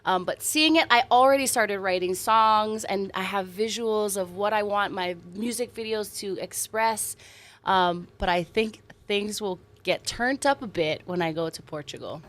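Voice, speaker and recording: A woman's voice: mid-range voice, a little slow. A woman from Hawaii in her thirties, a singer-songwriter talking earnestly and animatedly about her music and roots in an interview. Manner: warm, earnest, animated storytelling with soft laughs. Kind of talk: casual interview chat.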